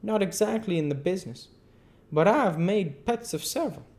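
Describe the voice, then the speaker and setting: Cold, insolent gentleman stranger read by a man, lively pitch. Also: a little fast. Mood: aloof. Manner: polite, aloof storybook gentleman.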